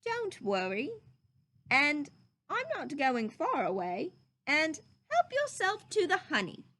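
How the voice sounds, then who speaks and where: A woman voicing a kind, reassuring young boy in a storybook, with an earnest, expressive delivery. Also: high-pitched, takes it slowly, exaggerated voice.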